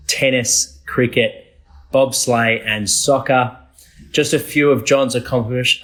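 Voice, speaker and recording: A man hosting a podcast, reading an introduction with a few stumbles and chatting casually with his guest over a video call. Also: mid-range voice. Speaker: podcast host.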